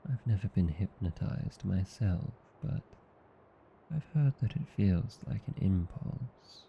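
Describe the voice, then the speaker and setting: A man with an Australian accent playing a tender, teasing vampire husband in an ASMR roleplay, soothing, coaxing and calmly hypnotic. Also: low-pitched, slow pace, vocal fry, dull audio, a little hiss or hum, heavily acted. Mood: calm, satisfied. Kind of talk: ASMR roleplay, slow intimate scripted acting.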